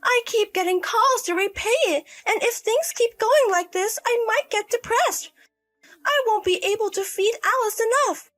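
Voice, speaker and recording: A woman's voice: very high voice, normal speed, a bit bright, soft background music, pitched above the performer's own voice. A woman voicing a selfish, irresponsible young single mother in a story drama, wheedling and petulant. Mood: pleading, whiny. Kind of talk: acted dialogue in a narrated drama story.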